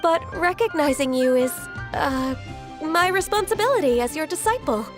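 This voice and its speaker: A woman's voice: high voice, expressive, moderate pace, music in the background. A woman voicing a polite, dutiful young secretary in a video game, courteous and earnest. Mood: gentle, earnest.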